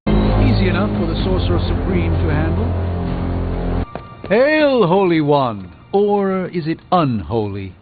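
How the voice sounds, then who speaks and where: An actor playing a learned sorcerer mentor in a video game, speaking in a grave, measured, formal way. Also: male voice, a little high, very animated. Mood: emphatic. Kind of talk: video game character dialogue. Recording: dull band-limited game audio, music behind.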